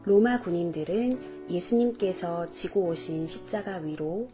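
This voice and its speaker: A woman narrating a children's Bible storybook in a gentle, polite storytelling manner. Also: lowish voice, unhurried, music in the background.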